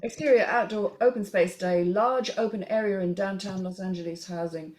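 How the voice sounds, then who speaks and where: A woman's voice: mid-range voice, steady tone, moderate pace, darkish tone, rough recording. A woman narrating a screenplay, reading out scene headings and stage directions as scripted narration.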